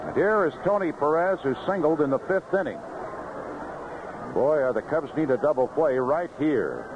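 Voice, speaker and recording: A man calling baseball play-by-play on live radio in clipped phrases, pausing between pitches. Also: animated. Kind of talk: live radio sports commentary. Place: outdoor ballpark broadcast booth. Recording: noisy, muffled vintage 1970s radio broadcast.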